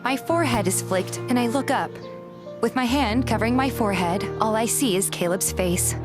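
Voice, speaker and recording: A woman's voice: a little high, normal speed, close to an everyday voice. Young woman narrating in character, music behind. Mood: hesitant narration. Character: feisty, suspicious young woman narrating her own story. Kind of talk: scripted first-person narration and game dialogue, fan dub.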